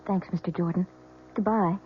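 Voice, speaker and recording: A woman's voice: on the low side, fairly even intonation, moderate pace, natural voice. An actress playing an anxious, fragile young married woman in a radio drama. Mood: nervous. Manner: anxious, pleading. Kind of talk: 1970s radio drama acting. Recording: lo-fi old radio broadcast with noise.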